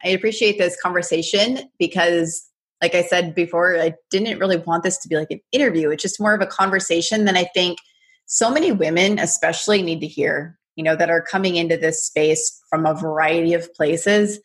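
A woman's voice: fairly low pitch, fairly quick. A woman hosting a podcast, talking in an encouraging, conversational way and often saying "like". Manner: warm, encouraging, uses "like" a lot. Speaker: podcast host. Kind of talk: casual conversation and scripted outro.

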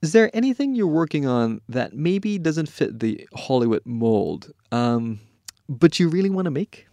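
A man's voice: fairly high pitch, big pitch swings, a little slow, creaky, mellow, dry recording, clean studio sound. A man hosting an interview podcast, moving between narration and conversational questions.

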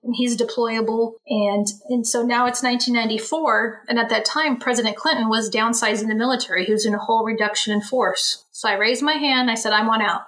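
Bright-voiced woman telling her story. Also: a little high, steady tone, brisk, hardly any pauses, poor audio. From San Francisco Bay Area. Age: 40s. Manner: chatty, many restarts and asides. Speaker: interview guest, Navy veteran. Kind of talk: casual storytelling.

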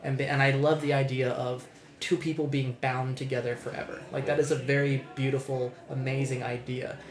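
A man's voice: mid-range voice, level tone, a little fast. Candid, rambling young man in echoey room. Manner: candid, rambling, frequent restarts and swearing. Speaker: podcast host. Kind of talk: casual podcast chat.

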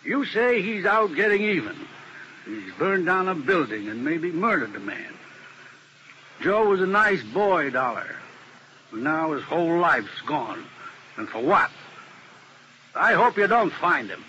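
A man's voice: on the high side, big pitch swings, measured pace, pauses often, sharp tone, highs cut off, exaggerated voice. An actor playing a weary, guilt-ridden male defense lawyer in a 1950s radio drama, troubled and heartfelt. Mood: anguished. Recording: hissy old radio broadcast.

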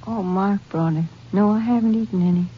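An actress playing a fragile, confused and distrustful wife in hospital in a radio crime drama, soft and faltering. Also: female voice, mid-range voice, fairly quick, natural voice. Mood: polite, subdued. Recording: muffled, noisy old radio broadcast.

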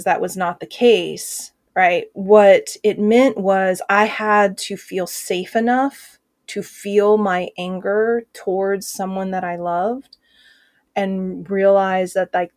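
A woman's voice: mid-range voice, a little mellow, no room sound. Earnest woman speaking reflectively, dry sound. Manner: earnest, reflective, many fillers. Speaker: trauma healing coach. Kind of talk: podcast interview answers.

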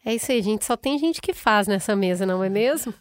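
Uma mulher brasileira, co-apresentadora de podcast, abrindo o episódio e apresentando as convidadas. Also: female voice, medium pitch, lively intonation, a little fast, dry recording. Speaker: podcast co-host. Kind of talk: podcast conversation.

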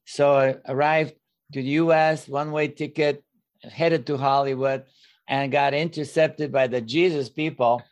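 An older man from Bulgaria with a Bulgarian accent, a guest minister telling his story as a lively storyteller with dry humor and a few grammar slips. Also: fairly high pitch, unhurried, highs cut off. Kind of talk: interview storytelling.

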